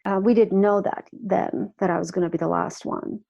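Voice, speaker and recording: A woman in her forties, originally from Croatia, chatting as a podcast guest. Her voice has a gravelly edge and she talks warmly, restarting sentences as she goes. She sits at medium pitch for a woman. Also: steady tone, moderate pace, lo-fi sound. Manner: warm, chatty, frequent restarts and laughs. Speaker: guest, music artist and life coach. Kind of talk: casual podcast interview.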